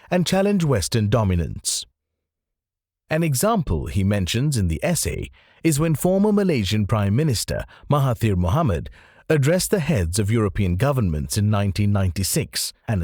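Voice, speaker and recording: Male audiobook narrator with very animated intonation. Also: medium pitch, breathy edge, no echo, clean studio sound. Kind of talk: scripted audiobook narration of nonfiction.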